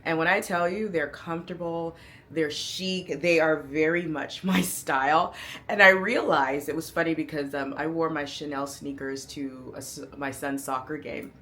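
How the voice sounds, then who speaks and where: A woman's voice: low voice, fairly even intonation, normal speed, a bit bright. A woman in her thirties, a fashion vlogger, talking casually and upbeat to camera in a chatty, playful way. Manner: chatty, upbeat, playful slang, hypes herself up. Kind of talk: casual outfit breakdown to camera.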